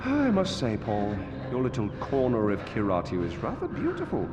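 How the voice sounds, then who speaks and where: A man's voice: mid-range voice, wildly swooping pitch, moderate pace, highs cut off, music in the background. An actor playing a genteel but volatile middle-aged male tyrant in a video game, theatrical and mock-courteous, given to pedantry and sudden swearing. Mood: suave, teasing. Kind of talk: theatrical game cutscene monologue.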